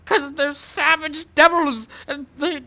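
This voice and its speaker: A man's voice: extremely high pitch, fairly even intonation, takes it slowly, bright, forceful tone, exaggerated voice. An actor playing a bitter, confused and weary old frontier man in a radio western, with folksy, stammering speech that repeats itself. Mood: bitter, spiteful. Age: older. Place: live stage show in a park.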